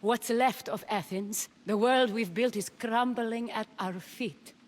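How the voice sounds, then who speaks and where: A woman's voice: a little high, slow. An actress voicing a weary, anxious Athenian noblewoman in a video game, sounding tense and worried. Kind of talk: video game cutscene acting.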